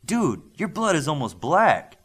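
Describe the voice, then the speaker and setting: A man's voice: fairly high pitch, wildly swooping pitch, a little slow, a bit bright, breathy edge, voice pushed up, full character voice. A man reading as a brash young male superhero in an audiobook, a blunt, joking tough guy. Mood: blurting surprise.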